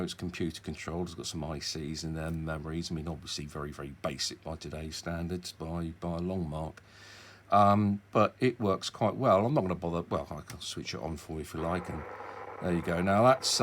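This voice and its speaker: A British man with a deep, low voice presents a hobby demonstration off the cuff. He rambles, hesitates and breaks into his own sentences in a casual way. There is clear ambient noise behind him. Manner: rambling, self-interrupting, hesitant.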